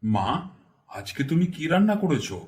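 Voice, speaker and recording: A man voicing a generous, fussy village landlord in a cartoon folk tale, with a theatrical, expressive delivery. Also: a little high, normal speed. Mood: pleased.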